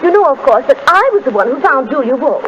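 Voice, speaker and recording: High, gushing society mother. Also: very animated, fast. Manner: effusive, theatrical. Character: gushing, self-dramatizing society mother, scheming and snappish. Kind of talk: 1930s radio acting. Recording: dull, noisy 1930s radio recording.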